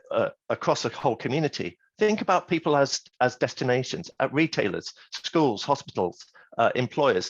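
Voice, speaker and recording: A man's voice: medium pitch, dry recording. A man giving an earnest online conference presentation. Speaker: presenting speaker. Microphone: video call. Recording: dry, muffled video call.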